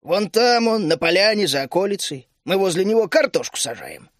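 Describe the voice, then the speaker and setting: Man doing a calm chuckling old gnome. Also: high voice, normal speed, no room sound, clean studio sound. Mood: easy. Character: easygoing bald male gnome, older husband.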